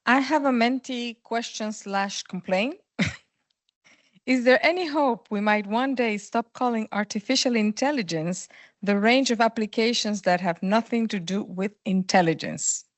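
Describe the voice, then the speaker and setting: A woman's voice: fairly high pitch, unhurried, dry recording. Wry woman moderating, dry sound. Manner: wry, reading out audience questions. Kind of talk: moderating a panel.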